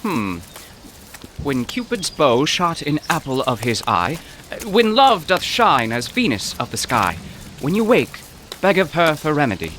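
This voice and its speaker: A man's voice: fairly high pitch, sing-song, unhurried, fairly bright tone, slight background noise. A man playing a gloomy, anxious poetry professor, earnest and formal, in an audio drama. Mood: uneasy, brooding.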